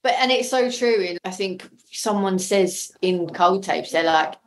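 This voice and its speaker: A woman's voice: medium pitch, unhurried. A woman chatting casually and enthusiastically as a guest in a podcast interview, effusive about the audio drama work.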